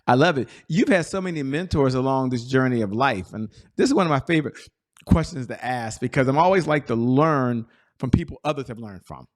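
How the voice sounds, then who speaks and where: A man's voice: medium pitch, expressive, brisk. A middle-aged man hosting a business talk show, asking long, enthusiastic questions and speaking directly to his audience. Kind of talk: interview host chat.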